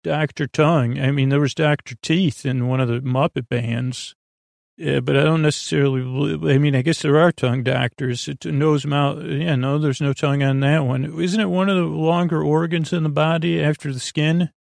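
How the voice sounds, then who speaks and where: A man hosting a podcast with a meandering bedtime monologue, halting and digressive, trailing off and restarting his sentences in a creaky voice. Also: fairly high pitch, steady tone, a little mellow, no room sound, clean studio sound. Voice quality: creaky, gravelly. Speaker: podcast host.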